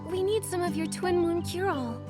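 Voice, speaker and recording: A woman playing a gentle, polite young stylist heroine in a video game, speaking earnestly. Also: extremely high pitch, moderate pace. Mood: urgent. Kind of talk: video game character acting. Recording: music behind the voice.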